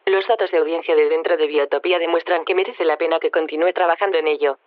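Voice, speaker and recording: An actress playing a polite, self-satisfied artificial intelligence, precise and formal, with a radio filter. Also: female voice, low voice, steady tone, fast talker, dry recording. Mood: polite, matter-of-fact. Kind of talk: fictional radio interview. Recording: dull, dry band-limited sound.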